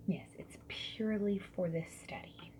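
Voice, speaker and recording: A woman speaking softly and breathily, often dropping to a whisper, in a gentle, friendly ASMR survey-interviewer roleplay. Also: medium pitch, animated. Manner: gentle, friendly, unhurried customer-service politeness. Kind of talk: soft-spoken ASMR roleplay. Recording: lo-fi, noisy with keyboard typing and clicks. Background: mechanical keyboard typing and clicks.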